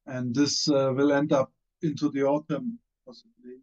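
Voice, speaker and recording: German-accented male panelist on a muffled call, mellow tone, halting delivery, plenty of ums. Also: mid-range voice, little pitch movement. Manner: hesitant, many fillers and restarts. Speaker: panelist, former member of parliament. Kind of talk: online panel discussion. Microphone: video call.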